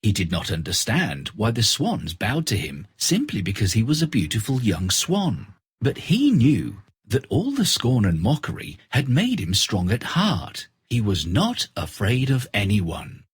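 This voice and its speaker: A man narrating a scripted children's fairy tale in an expressive, deliberate storytelling manner. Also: lowish voice, breathy edge, no room sound.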